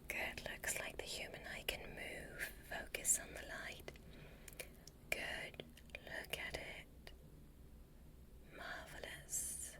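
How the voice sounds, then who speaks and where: A woman voicing a courteous, curious female alien space doctor in a soft, breathy, soothing whisper, as ASMR roleplay. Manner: slow, soothing, gently instructing. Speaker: ASMR performer.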